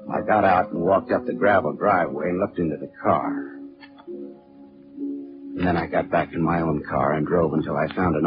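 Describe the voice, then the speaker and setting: An American actor playing a wry, tough private detective in a 1940s radio drama, terse and deadpan. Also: male voice, low-pitched, lightly acted. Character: wry, tough male private detective narrating his case. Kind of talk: 1940s radio drama acting, hardboiled narration. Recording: dull old 1940s radio, music behind.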